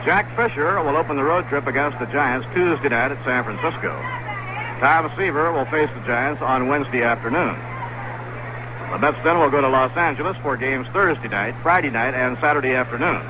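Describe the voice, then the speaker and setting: A man doing play-by-play commentary of a baseball game on radio, steady and even with quick rises on plays. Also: medium pitch. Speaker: play-by-play announcer. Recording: noisy old 1960s radio broadcast.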